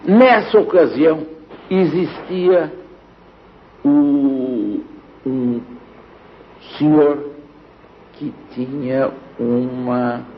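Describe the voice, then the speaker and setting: An older Brazilian man reminiscing in a halting, deliberate way, pausing mid-phrase. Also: mid-range voice. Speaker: interviewee, radio pioneer. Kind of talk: spoken reminiscence. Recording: dull archival interview with background noise.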